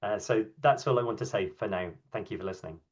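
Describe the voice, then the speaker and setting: A man with a lowish voice talks over a video call, the audio muffled and lo-fi. He answers questions casually, pausing with ums and restarting phrases as he thinks. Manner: hesitant, many ums and restarts. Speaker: invited speaker at an online workshop. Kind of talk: conversational talk and answers.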